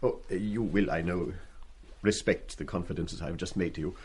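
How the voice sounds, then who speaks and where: An actor playing an ailing, repressed middle-aged schoolmaster in a radio play, formal, hesitant and apologetic in manner. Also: male voice, low-pitched, brisk, darkish tone, slight background noise, lightly acted. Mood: embarrassed, apologetic.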